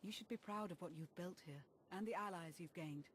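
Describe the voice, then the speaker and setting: A woman performs a loyal female adviser in a video game cutscene. Her voice is fairly low, and she speaks calmly and formally, weighing each phrase. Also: a little hiss or hum, lightly acted. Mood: composed. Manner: calm, formal, deliberate.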